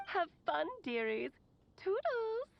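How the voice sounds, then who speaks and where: A woman's voice: very high-pitched, sing-song, slow pace, gravelly, lo-fi sound, exaggerated voice. A woman voicing a playful, breezy, motherly witch in a video game, with a theatrical sing-song delivery. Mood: playful, cheery. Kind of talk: video game character acting.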